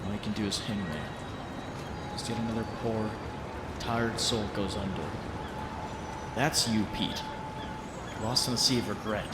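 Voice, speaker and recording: A man's voice: lowish voice, fairly even intonation, measured pace, pauses often, close to an everyday voice. A man voicing a troubled, sardonic young superhero in a comic dub, recorded in a roomy, noisy space. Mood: grim, regretful. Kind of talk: comic dub acting with introspective narration.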